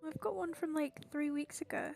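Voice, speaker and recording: A woman's voice: high voice, normal speed, gravelly, darkish tone, faint music behind. A woman gamer giving casual gameplay commentary, offhand and muttering to herself as she plays.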